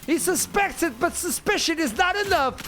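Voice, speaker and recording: A man from Portugal doing excitable play-by-play commentary on an esports match. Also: very high voice, normal speed, a little hiss or hum. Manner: excitable, builds to shouts at big plays. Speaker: esports caster.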